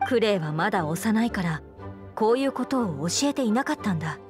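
A woman's voice: mid-range voice, lively intonation, fairly quick, lightly acted. A woman voicing a responsible, firm but caring female knight commander with formal, composed speech in an anime video game. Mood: grave. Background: background music.

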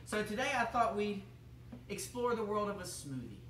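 A man's voice: high-pitched, very animated, normal speed, a bit bright, a little hiss or hum. A young man playing a goofy, bumbling male TV chef who rambles, chuckles and fumbles in a home kitchen. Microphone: camera across the room. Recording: echoey room, mic from far off.

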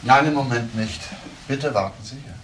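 An actor playing a courteous, probing police investigator in a German crime radio drama, politely questioning. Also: male voice, mid-range voice, moderate pace, background noise, natural voice.

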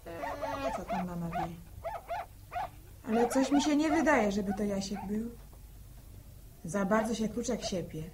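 An actress playing a pert, excitable young village daughter in a 1960s radio drama. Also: female voice, medium pitch, unhurried, long pauses, lightly acted. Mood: pert, dismissive. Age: young adult. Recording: noisy old radio recording.

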